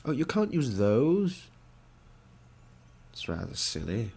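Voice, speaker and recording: A man's voice: mid-range voice, wildly swooping pitch, slow pace, creaky, slightly rough. A young man with a cold, sounding congested, giving wry, mock-posh let's play commentary through a headset. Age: young adult. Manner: mock-posh, wry, gentlemanly put-on accent. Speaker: gaming video creator.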